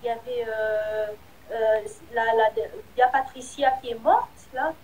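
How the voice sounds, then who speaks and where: A woman singer with a high voice, answering interview questions. She speaks candidly but hesitantly, and her sentences often trail off. A faint hum of ambience sits behind her. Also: fairly even intonation, a little slow, a little mellow, poor audio. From Republic of the Congo. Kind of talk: casual interview.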